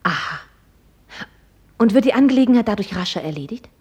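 A husky, proud, sharp-tongued young lady. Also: mid-range voice, expressive, fairly quick, lo-fi sound. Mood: ironic probing. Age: young adult. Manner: ironic, pointedly formal, probing. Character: proud, sharp-tongued young American millionaire's daughter, mockingly polite. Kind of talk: German radio play acting.

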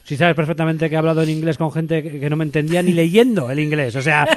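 A man's voice: a little high, steady tone, fast, noisy background. A man from co-hosting a radio show, chatting casually and making fun of himself. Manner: self-mocking, joking storyteller. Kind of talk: casual on-air chat.

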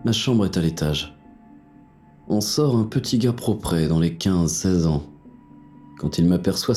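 Very deep hard-boiled fugitive played by a man, music behind. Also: mellow, natural voice. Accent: French. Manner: crude, slangy, terse. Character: hard-boiled, cynical, wounded male fugitive with a gun. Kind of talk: first-person noir audio fiction narration.

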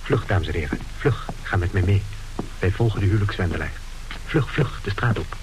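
A man's voice: sits low, animated, brisk, background noise. A man acting as a conspiratorial radio play narrator who guides listeners in an urgent, theatrical, confiding way. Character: conspiratorial narrator guiding listeners as they shadow a crook. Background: music.

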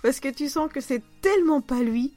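High-voiced woman hosting a casual podcast. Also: expressive, moderate pace, warm tone, faint music behind. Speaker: podcast host. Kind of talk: casual chat.

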